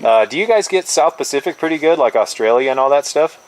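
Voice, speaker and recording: A man's voice: fairly high pitch, expressive, quick pace, slight background noise. A middle-aged man chatting casually as a radio operator, using laid-back radio lingo, heard over a two-way radio.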